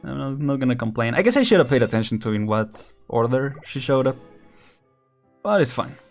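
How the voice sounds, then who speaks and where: A man's voice: medium pitch, lively intonation, brisk, soft background music. A young man casually commenting while streaming a game, chatty and laughing often, counting down as he plays. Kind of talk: casual live gameplay commentary.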